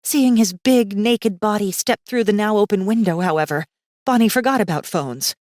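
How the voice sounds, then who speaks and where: A woman's voice: mid-range voice, normal speed, darkish tone, no echo, clean studio sound. A woman narrating a fantasy audiobook in a dramatic, scripted style with tense, suspenseful storytelling.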